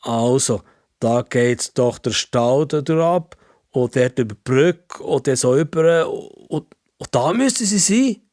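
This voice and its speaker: A man voicing a curious, easily flustered old-fashioned man from the past in a children's story, with a Swiss accent and halting, repetitive phrasing. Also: mid-range voice, expressive, darkish tone, no room sound, clean studio sound. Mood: searching, remembering.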